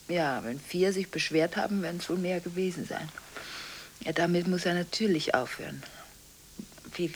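Actress as a restless, volatile headmistress on the phone. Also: female voice, on the low side, slight background noise, lightly acted. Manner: conversational, restless, breaking off and repeating herself. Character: volatile, contradictory school headmistress on frantic phone calls. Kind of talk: radio drama monologue of phone calls.

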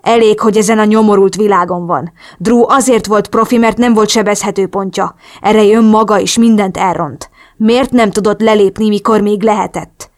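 A man's voice: sits high, steady tone. A woman voicing a hot-tempered, hostile man in a storybook, accusing and threatening in angry outbursts. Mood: furious, accusing.

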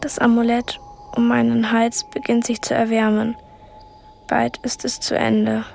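A young girl telling her own thoughts in a radio play. Her fairly high voice is intense and breathless, over soft music. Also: monotone, unhurried, creaky. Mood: anxious dread. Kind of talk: first-person radio drama narration.

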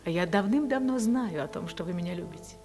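A woman's voice: on the low side, lively intonation, fast, darkish tone, soft background music, natural voice. An actress in a radio play playing a passionate married woman in forbidden love, theatrical and yearning. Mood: bold, tender.

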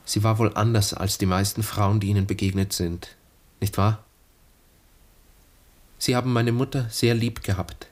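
An actor playing an earnest, wistful lieutenant of twenty-three in a 1960s German radio play, speaking formally and gently probing. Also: male voice, on the low side, steady tone, moderate pace, pauses often, lightly acted. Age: young adult.

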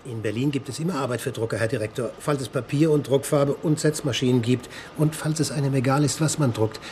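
A man's voice: medium pitch, quick pace, a little mellow, slight background noise, close to an everyday voice. Actor as a wry journeyman printer. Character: dry, principled journeyman printer from Berlin, late thirties. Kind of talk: German radio crime drama acting.

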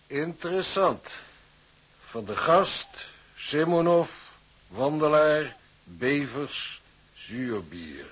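Husky male voice, officious company official, smug, measured, cold, radio drama. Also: a little high, animated, a bit bright, heavily acted. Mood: sly interest. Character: smug, coldly formal shipping company official. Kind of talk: Dutch radio drama acting.

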